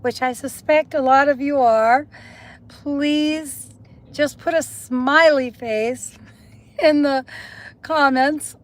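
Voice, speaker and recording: A woman's voice: sits high, slow pace. A woman hosting a live stream, chatting casually and speaking directly to her viewers.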